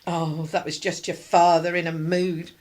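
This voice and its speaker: A woman acting in a radio drama as a put-upon, sarcastic working-class wife and mother, wry and expressive. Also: on the low side, a little slow, close to an everyday voice. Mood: relieved, wary.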